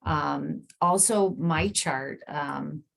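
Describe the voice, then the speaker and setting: Low, creaky-voiced woman giving an informal presentation. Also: drawn-out words. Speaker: nurse practitioner giving a presentation. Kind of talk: informal explanatory talk with slides.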